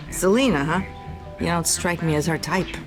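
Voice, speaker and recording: A woman's voice: fairly low pitch, measured pace, over music, lightly acted. An actress playing a brusque, world-weary woman at a dive bar in a video game, dry and teasing. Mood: dismissive, amused.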